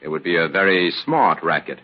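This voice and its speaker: An actor playing a calm, shrewd scientific detective in an old-time radio drama, measured and assured as he explains. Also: sits low, husky, muffled recording. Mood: assured, explanatory.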